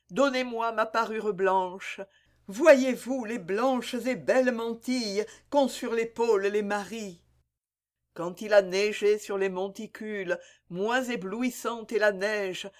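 A man reading the part of a dying young girl in a slow, lyrical, solemn way. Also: high voice, fairly even intonation. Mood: tender. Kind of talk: dramatic poem reading.

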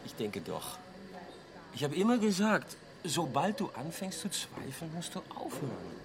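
A man's voice: fairly high pitch, wildly swooping pitch, unhurried, crowd noise, exaggerated voice. An actor playing an assertive, self-assured man with a probing, decisive manner in a naturalistic radio drama. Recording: loud background ambience.

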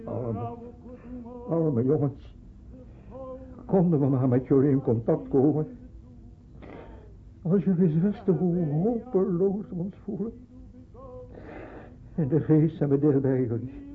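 An actor playing a despairing, grieving man in a radio drama, halting and anguished. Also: a little high. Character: despairing, grieving man lamenting lost young men. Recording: muffled narrow-band old radio recording.

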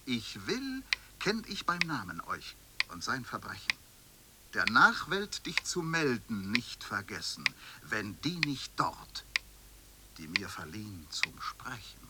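An actor playing a grim, theatrical reciter of infernal verse in an avant-garde radio drama, declaiming from murmurs up to loud cries. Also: male voice, on the low side, very animated, slow, heavy background noise. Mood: grim, dramatic.

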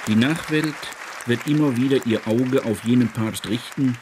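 A man's voice: medium pitch, moderate pace, a crowd in the background. A man making a prepared public statement, heard in a noisy archive recording.

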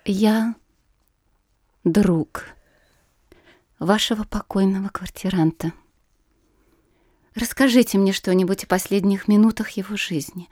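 An actress playing a reserved, grieving young noblewoman in an audio drama, speaking in a hushed, hesitant way. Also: mid-range voice, no room sound, clean studio sound. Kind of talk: Russian literary audio drama acting.

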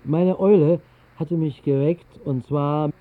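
An actor playing a wry vagrant poet, narrating in a dry, measured way in a 1960s literary radio drama. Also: male voice, fairly high pitch, fairly even intonation. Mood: wry narration. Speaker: first-person narrator. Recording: thin, phone-like old radio recording.

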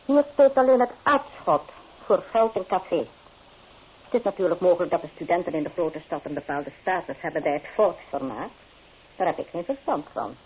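A woman's voice: on the low side, lively intonation, normal speed, slightly rough. A woman playing a stern, haughty old grandmother in a radio play, speaking with blunt, dignified disapproval. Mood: indignant, haughty. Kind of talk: Dutch radio play acting. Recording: tinny old radio recording with hiss.

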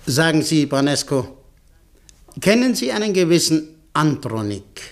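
Curt, domineering male director, higher-pitched voice. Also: big pitch swings, a little slow. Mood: smooth, demanding. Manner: curt, commanding, clipped orders. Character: domineering, cold male company director and power broker. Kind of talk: German radio play acting.

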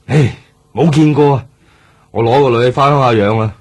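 A man's voice: medium pitch, moderate pace, slightly rough, natural voice. An actor playing a hesitant, defensive man being questioned by police in a radio drama, speaking Hong Kong Cantonese.

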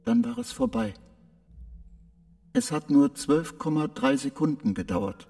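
Slow, pensive machine mind with creaky voice. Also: male voice, on the high side, wildly swooping pitch, dark tone, faint music behind, exaggerated voice. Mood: awed. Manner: slow, deliberate, earnest. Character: gentle, pensive male spaceship computer facing shutdown. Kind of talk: radio drama acting.

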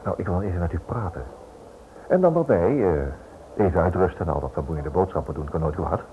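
A smooth, nosy writer in a radio play. His low voice moves with lively swings, politely insinuating and never letting go. The old recording sounds dull and noisy. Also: fairly quick. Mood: polite, coaxing. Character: smooth, prying male writer probing a prisoner's wife.

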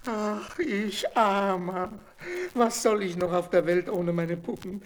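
An actor playing a kindly, lonely old puppet maker, talking fondly and theatrically in a children's radio play. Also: male voice, high voice, fairly even intonation, slow pace, heavy background noise. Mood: sorrowful, weary. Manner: exclaiming, talks fondly to his puppets.

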